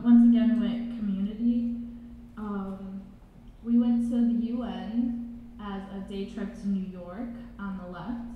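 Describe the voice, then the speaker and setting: A young woman on a student panel, talking casually into a handheld mic in a large hall. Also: mid-range voice. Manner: casual, uses "like". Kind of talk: panel presentation. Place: large reverberant college hall. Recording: echoey hall, dull sound, faint noise.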